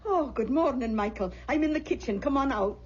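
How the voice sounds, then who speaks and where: An actress playing a protective, tender older aunt in an old-time radio drama. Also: female voice, high-pitched, lively intonation, fairly quick, breathy edge. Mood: kindly, soothing. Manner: fretful, tender. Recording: dull old radio recording.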